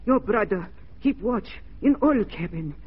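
High, husky, furtive Native informant. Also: male voice, animated, full character voice. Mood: urgent, furtive. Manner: halting broken English. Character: furtive Native informant trading warning for help. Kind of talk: 1940s radio acting. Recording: dull, muffled 1940s radio recording.

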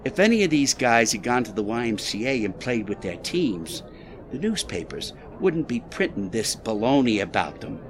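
An actor playing a proud, defensive club boss in a 1920s audio drama, streetwise and combative, sliding between bluster and false politeness. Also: male voice, medium pitch, sing-song, moderate pace, natural voice. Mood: boastful, defensive. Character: defensive tough male Chicago athletic club boss, slippery and proud. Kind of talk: audio drama period dialogue.

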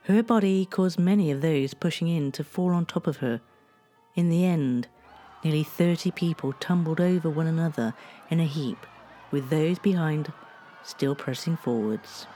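Podcast host: woman, low mellow voice, lively intonation, measured scripted narration, faint music underneath. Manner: measured storytelling delivery.

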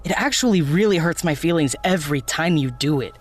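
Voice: medium pitch, animated, brisk, close to an everyday voice. An actor playing an earnest, hurt young activist in an audio drama, pleading in a casual, sweary, naturalistic way. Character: earnest, hurt young activist who runs a protest blog.

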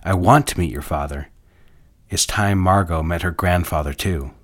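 A man playing a busy, patronizing businessman and sweet-talking lover in a period audio drama, smooth-talking, controlling and easily impatient. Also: deep voice, normal speed. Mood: affectionate, patronizing.

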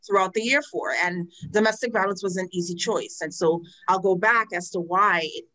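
Woman, 40s, from Trinidad; interview guest and advocate; frank, earnest storyteller, mid-pitched voice. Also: normal speed, poor audio. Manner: frank, earnest storytelling with animated quoted asides. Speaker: guest, domestic violence advocate. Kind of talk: personal testimony in a podcast interview.

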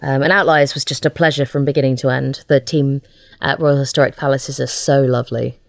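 Woman w a deep-ish voice, casual interview chat, kinda halting, really dry sound. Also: fairly even intonation, vocal fry, no room sound. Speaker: interview guest, audio drama writer.

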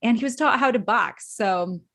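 A woman's voice: a little high, normal speed, a bit bright. A woman co-hosting a podcast, introducing her guest in an upbeat, chatty way. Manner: upbeat, chatty, laughs easily. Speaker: podcast co-host.

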